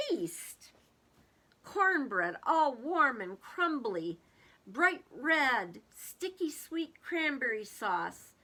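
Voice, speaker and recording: A woman's voice: a little high, creaky, bright, forceful tone. A woman reading a storybook aloud to young children, expressively, with casual musing commentary.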